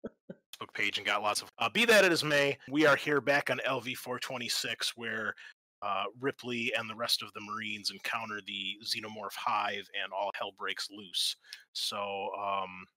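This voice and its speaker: Bright-voiced man hosting a tabletop game. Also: fairly low pitch, normal speed, dry recording, low-quality recording. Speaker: game master and podcast host. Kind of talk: hosting a tabletop roleplaying podcast, casual introductions and narration. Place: online group call.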